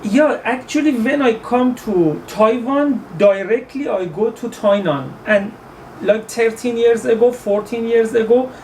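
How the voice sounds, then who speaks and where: An Iranian-accented man in his thirties talks as a guest in a relaxed interview. He pauses often, hunts for words and restarts his sentences. He sits a little back from the mic, with a faint hiss of noise behind him. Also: very high-pitched, distant mic, rough recording. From Iran. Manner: halting, searching for words with many fillers and restarts. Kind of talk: casual interview in English.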